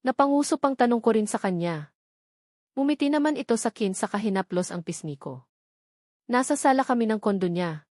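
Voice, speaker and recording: A woman's voice: fairly high pitch, animated, brisk, long pauses, darkish tone, no room sound, studio quality. A woman with a Filipino accent reading a first-person romance novel as a scripted narration. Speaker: audiobook narrator.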